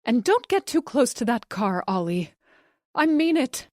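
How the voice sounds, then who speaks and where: A woman voicing a panicking wife who shouts and sobs in a high, strained voice. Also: big pitch swings, a little slow, dry recording, clean studio sound. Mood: urgent, shouting. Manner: shouting, frantic, high-pitched. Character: frantic panicking woman, wife watching disaster.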